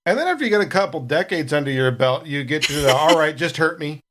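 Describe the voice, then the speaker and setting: A man's voice: fairly high pitch, animated, fast, a bit bright, a little hiss or hum. A man who plays on a gaming podcast, chatting casually. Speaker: player on an actual-play podcast. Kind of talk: casual chat.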